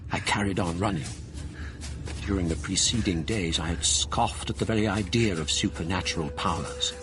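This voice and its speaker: An actor narrating as a young scholar recalling a night of terror in a radio drama. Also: male voice, low-pitched, noisy background, close to an everyday voice. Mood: tense. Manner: tense, measured storytelling. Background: eerie music.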